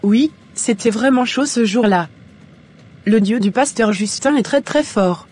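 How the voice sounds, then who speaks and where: A woman's voice: a little high, measured pace, a little mellow, breathy edge. A woman voicing a credulous, admiring churchgoer chatting in a cartoon. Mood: amazed, admiring. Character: amazed, credulous female church member. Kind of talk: dramatic animated dialogue.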